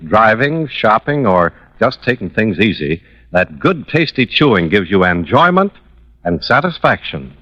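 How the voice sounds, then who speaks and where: A male announcer from 1950s radio delivers a polished sponsor pitch. His intonation is lively and swooping and his tone bright, on a dry, slightly dull vintage recording. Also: no room sound.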